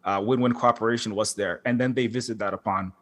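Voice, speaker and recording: A man speaking on a conference panel as a game developer, impassioned and halting, with many restarts and fillers. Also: fairly low pitch, steady tone, a bit bright. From Hawaii. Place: large concert hall.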